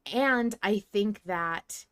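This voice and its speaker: A woman's voice: medium pitch, extremely slow, fairly bright tone, dry recording. A woman hosting a podcast, talking casually in an emphatic, analytical way. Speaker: podcast host. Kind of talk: casual discussion on a fan podcast.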